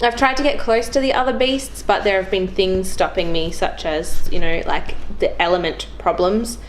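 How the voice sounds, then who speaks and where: A woman's voice: medium pitch, steady tone, normal speed. A young woman chatting casually about video games as a guest on a show. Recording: clearly noisy background.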